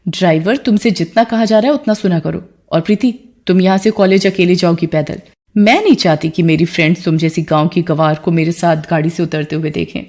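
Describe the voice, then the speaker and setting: A woman's voice: fairly low pitch, fairly quick, few pauses, darkish tone, lightly acted. A woman voicing a haughty, spoiled young rich city girl in a cartoon story, scornful and bossy. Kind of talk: Hindi moral-story cartoon acting.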